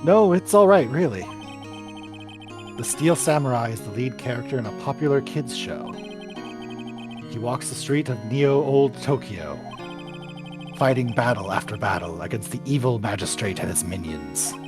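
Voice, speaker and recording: A polite, earnest young TV star, acted by a man for a game dub. The pitch is medium and lively, with clear music playing behind the explanatory delivery. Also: moderate pace, pauses often, lightly acted. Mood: modest explaining. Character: mild, earnest male TV action actor. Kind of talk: live fan voice-over of a video game.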